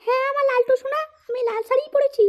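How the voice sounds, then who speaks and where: A woman's voice: very high-pitched, fairly even intonation, very fast, dull audio. A woman voicing an eager, chirpy young woman in a comedy cartoon. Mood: sweet. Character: squeaky, eager young woman, girlfriend or reporter.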